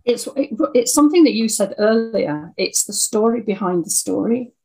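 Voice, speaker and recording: An older woman, over sixty, talking reflectively in an interview, thoughtful and halting as she repeats words. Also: a little high, lively intonation, a little mellow, slightly rough, rough recording. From Scotland. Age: 60s or older. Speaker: interview guest, audio dramatist.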